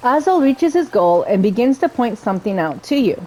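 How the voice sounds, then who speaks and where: A woman's voice: fairly high pitch, very animated, fairly quick, dark tone, poor audio. A woman hosting a gaming playthrough, commenting casually and reading game text aloud. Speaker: gaming video host.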